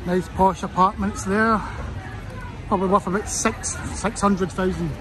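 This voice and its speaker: Scottish male vlogger, highish voice, sore throat, rambling street commentary over crowd and traffic. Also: steady tone, measured pace, music in the background. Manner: chatty, rambling asides to viewers. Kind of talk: casual walking commentary. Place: busy city street outdoors. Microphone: handheld phone camera.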